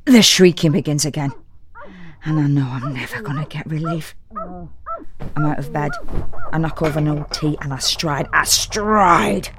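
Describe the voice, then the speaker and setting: A woman playing an exhausted, fretful woman who narrates a confessional audio drama monologue in an intimate, wry, deliberate way. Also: deep voice, slight background noise. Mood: furious, determined. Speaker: narrator and actor.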